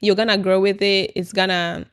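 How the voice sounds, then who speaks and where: A woman's voice: mid-range voice, flat delivery, moderate pace, smooth, no room sound, clean studio sound. A woman who speaks Swahili natively, talking in an informal, slangy, conversational way as a podcast guest. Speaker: podcast guest, small business owner. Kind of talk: casual conversational chat.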